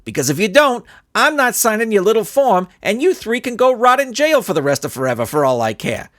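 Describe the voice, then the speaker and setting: A man's voice: high voice, sing-song, a little fast, a bit bright, no echo, clean studio sound, voice pushed up. A man voicing an exasperated, overbearing young soccer coach in a storybook, bossy and emphatic. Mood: exasperated, scolding.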